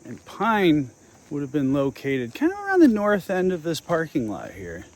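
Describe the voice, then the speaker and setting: A man's voice: on the high side, wildly swooping pitch, moderate pace. An American man hosting a casual on-location nature vlog, narrating and explaining local history. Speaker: host of local nature exploration videos. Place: outdoors in a nature preserve.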